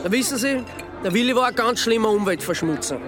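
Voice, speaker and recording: Sardonic austrian amateur sleuth, deadpan, highish voice, music behind him. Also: male voice, fast, crowd noise. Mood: deadpan, mocking. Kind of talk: naturalistic radio drama dialogue.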